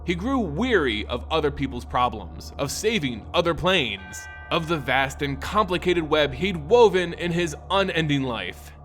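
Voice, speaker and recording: A man reads a scripted fantasy tale as a dramatic storyteller. His voice sits a little high and moves widely in pitch, with a brooding, theatrical weight. Music plays softly behind him. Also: animated, a little slow, hardly any pauses. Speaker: storyteller and lore narrator.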